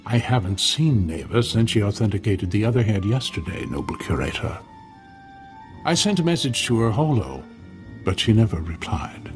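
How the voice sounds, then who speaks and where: A man's voice: lowish voice, big pitch swings, normal speed, soft background music. An actor playing a meek, deferential male museum assistant in a video game, obsequious, hesitant and overly formal. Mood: servile, reluctant.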